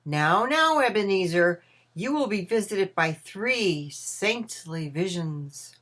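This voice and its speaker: A woman's voice: mid-range voice, lively intonation, slow, fairly bright tone. A woman voicing the courteous ghost of a dead businessman in a storybook play, with formal, archaic, declamatory speech. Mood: solemn.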